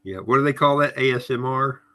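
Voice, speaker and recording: A middle-aged man with a bright, forceful voice chats on a podcast livestream. He is friendly and folksy and greets viewers warmly by name. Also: medium pitch, a little fast. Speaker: podcast co-host. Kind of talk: casual livestream chat.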